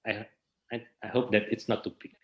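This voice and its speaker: A man with an Indonesian accent giving casual interview answers in halting English, often repeating words as he goes. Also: lowish voice, little pitch movement, highs cut off. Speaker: interview guest, theater researcher and actor.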